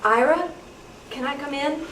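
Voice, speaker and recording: A woman's voice: sits high, a little slow. A woman playing a teenage girl babysitter with a short fuse, in a live amateur radio play reading. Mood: gentle, coaxing. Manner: scolding when pushed, coaxing otherwise. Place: meeting room, live reading. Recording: roomy, distant live pickup with noise.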